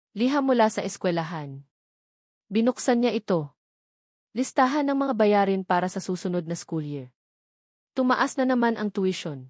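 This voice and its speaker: A woman's voice: mid-range voice, a little mellow, no echo. Close, dry Filipino woman storyteller. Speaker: narrator. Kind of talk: dramatic scripted audio story narration.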